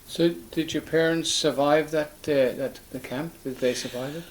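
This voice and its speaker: A man's voice: fairly high pitch, steady tone, unhurried. A man interviewing someone informally, asking plain-spoken questions that ramble a little.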